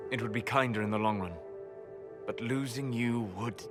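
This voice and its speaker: An actor playing a melancholy, scholarly elf mage in a video game, speaking in formal, measured, wistful phrases. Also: male voice, mid-range voice, fairly even intonation, over music, close to an everyday voice. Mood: tender, conflicted. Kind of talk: video game romance dialogue acting.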